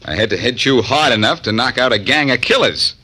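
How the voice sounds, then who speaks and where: An actor playing a cocky, unruffled amateur sleuth hero, breezy and joking in 1940s radio crime style. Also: male voice, mid-range voice, lively intonation, fairly quick, over music, lightly acted. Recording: noisy, clicking 1940s radio recording.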